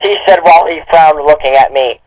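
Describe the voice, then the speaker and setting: A man's voice: fairly high pitch, fairly quick, a little mellow, rough recording. A man reading aloud as a co-host, casually, with joking commentary along the way.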